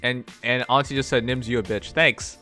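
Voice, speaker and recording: Man, slight Chinese accent, English as a second language, bright forceful voice, blunt and profane, faint music underneath. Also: mid-range voice, fairly even intonation, moderate pace. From Canada. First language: not English. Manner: rambling, profane, blunt, laughs often. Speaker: livestream host. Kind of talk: casual chat, reading chat messages aloud.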